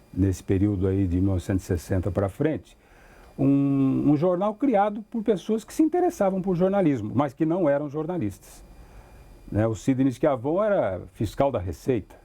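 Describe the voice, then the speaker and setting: A man's voice: mid-range voice, warm tone. An older man with a Brazilian accent, a veteran radio reporter reminiscing in an interview.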